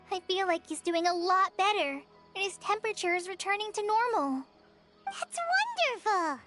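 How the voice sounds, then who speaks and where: A tiny floating companion creature in a fantasy game, with a very high, bouncy voice that leaps all over the scale. She is chirpy and exclaims often, as if everything is dramatic. Faint music sits under her lines. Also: big pitch swings, measured pace, bright, forceful tone, heavily acted. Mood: cheerful. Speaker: traveling companion. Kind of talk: video game voice acting.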